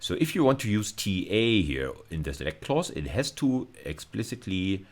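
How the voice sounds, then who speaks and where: A man's voice: on the low side, big pitch swings, moderate pace. A man lecturing at university level in an informal screencast style, explaining hesitantly and often repeating and rephrasing himself.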